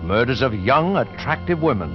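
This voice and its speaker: A man introduces a 1940s suspense radio show with portentous formality. His mid-pitched voice moves expressively over music, on a dull old recording. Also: very animated, moderate pace. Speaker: host and announcer.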